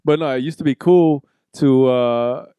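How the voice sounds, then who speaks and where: Male podcast co-host, smooth voice, dry close sound, loose and playful chat with casual swearing. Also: mid-range voice, measured pace, no room sound, muffled recording. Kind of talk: casual banter.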